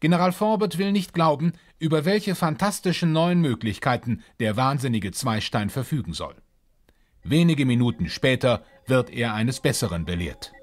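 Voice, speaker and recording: A man narrating a scripted science-fiction adventure in a measured, formal storytelling manner. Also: mid-range voice, expressive, close to an everyday voice.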